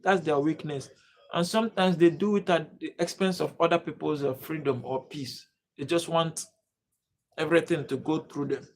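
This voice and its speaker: A man from Nigeria, hosting a live-streamed lesson and repeatedly pleading with viewers to comment and subscribe. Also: fairly high pitch. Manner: repetitive, pleading appeals to viewers. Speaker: livestream host and teacher.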